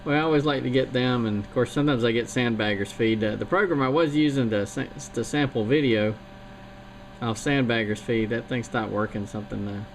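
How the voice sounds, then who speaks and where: A man's voice: mid-range voice, fairly quick. A man chatting casually and rambling a bit as he talks on a CB radio. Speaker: CB radio operator. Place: home radio station. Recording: noisy radio transmission.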